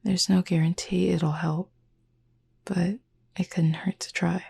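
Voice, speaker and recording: Breathy, gravelly, whispering cupid played by a woman. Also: lowish voice, level tone, exaggerated voice. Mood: soothing, reassuring. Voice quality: breathy, husky half-whisper. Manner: measured, formal, gently teasing. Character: calm, proud, softly caring cupid roommate lulling someone to sleep. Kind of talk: whispered roleplay sleep-aid audio.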